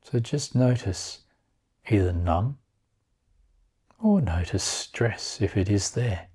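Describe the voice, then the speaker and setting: Calm guy doing guided meditation, soft dark husky voice, takes his time w long pauses. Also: fairly low pitch.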